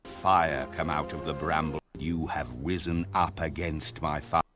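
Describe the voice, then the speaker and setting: A man narrating scripture in a solemn, measured and dramatic style. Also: a bass voice, fairly even intonation. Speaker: audio Bible narrator. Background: background music.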